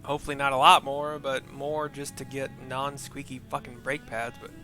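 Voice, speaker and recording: A man hosting a gaming video, chatting casually in a halting, rambling way. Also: medium pitch, little pitch movement, music in the background. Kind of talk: casual chat over gameplay.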